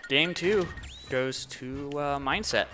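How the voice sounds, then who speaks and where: A man co-commentating a video game match casually, with hesitant, halting phrasing and an agreeable way of talking. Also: medium pitch, music in the background. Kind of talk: casual live gaming commentary.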